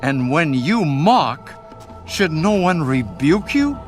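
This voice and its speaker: An actor playing a stern, self-righteous man rebuking his friend in a deliberate, rhetorical way in a dramatised scripture reading. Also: fairly high pitch, sing-song, faint music behind. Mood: stern rebuke.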